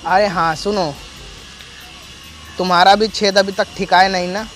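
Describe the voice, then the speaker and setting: A man's voice: high-pitched, steady tone, measured pace, fairly bright tone. A young man with a Bhojpuri accent, a prankster chatting on a phone in a rustic, teasing, cheeky way outdoors. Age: young adult. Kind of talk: staged loud phone chat for a street prank. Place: outdoors in a leafy park. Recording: outdoor recording with music underneath. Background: music.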